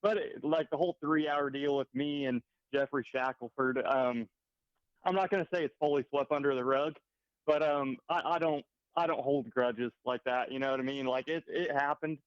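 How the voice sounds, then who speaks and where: A man's voice: mid-range voice, moderate pace, smooth, no echo, highs cut off. A young man, a race car driver, chatting casually on a podcast and filling his talk with "like". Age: young adult. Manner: casual, heavy on "like".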